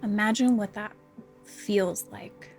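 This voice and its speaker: A woman leading a guided meditation, speaking in a soft, calm, unhurried and soothing way. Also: medium pitch, faint music behind. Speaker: counselor guiding a body scan meditation.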